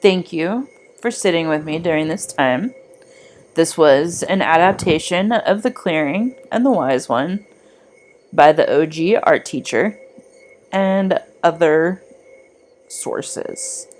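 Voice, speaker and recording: A woman leads a guided meditation at a very unhurried pace. Her voice sits somewhat low and moves widely in pitch as she gives gentle, deliberate instructions in a soothing manner. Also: lively intonation, a little hiss or hum. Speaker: meditation guide, art teacher. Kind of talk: guided meditation narration, scripted.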